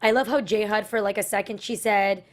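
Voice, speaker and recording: Female host, mid-pitched, rambling, moves the chat along. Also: fairly even intonation, fairly quick. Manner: chatty, rambling, many fillers. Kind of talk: casual chat, leading the segments.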